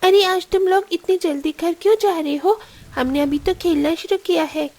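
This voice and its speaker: A woman's voice: extremely high pitch, steady tone, normal speed, darkish tone. A woman voicing a small child from a poor family in a cartoon, childlike and plaintive. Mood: puzzled. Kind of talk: cartoon story dialogue.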